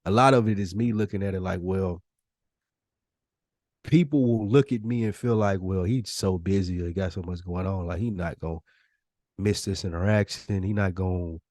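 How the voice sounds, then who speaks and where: A man's voice: low voice, moderate pace, a little mellow, no room sound, clean studio sound. An American man co-hosting a podcast, talking candidly and reflectively about himself in casual conversation. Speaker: podcast co-host.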